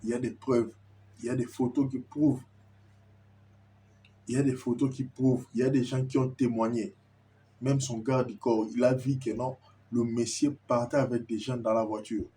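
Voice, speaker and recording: A man's voice: mid-range voice, flat delivery, moderate pace, warm tone, slight background noise. A man with a Congolese French accent giving an impassioned, insistent monologue to viewers, repeating himself and stammering as he searches for words. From Kinshasa, Congo. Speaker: commentator addressing viewers.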